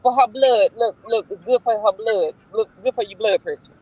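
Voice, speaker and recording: A woman's voice: a little high, moderate pace, a little mellow, husky, no room sound, rough recording. A woman in a casual chat on a live stream who is playful, teasing and laughs often. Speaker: guest on a stream.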